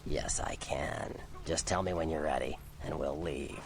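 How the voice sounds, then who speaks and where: A man playing a gruff, cynical, streetwise vampire in a video game, speaking bluntly and without hurry. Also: a little hiss or hum, full character voice. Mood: gruff, matter-of-fact. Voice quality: very deep gravelly growl. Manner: blunt, unhurried, sardonic.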